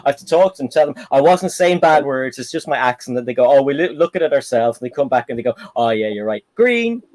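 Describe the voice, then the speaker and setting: A man with an Irish accent hosting a casual live-stream panel chat, telling animated stories. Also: medium pitch, fast. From Ireland. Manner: animated, chatty storyteller.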